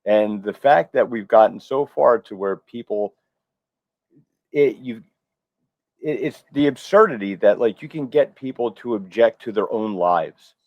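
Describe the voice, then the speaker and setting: Low-voiced man on a dull dry mic. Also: animated, unhurried, dry recording. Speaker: panelist on a commentary show. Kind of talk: casual opinionated commentary.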